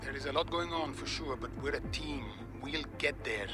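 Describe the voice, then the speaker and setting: An actor playing an earnest, supportive racing team boss, reassuring and conciliatory, in a video game cutscene. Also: male voice, on the high side, moderate pace, lightly acted. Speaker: team principal. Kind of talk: video game cinematic dialogue. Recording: music behind the voice.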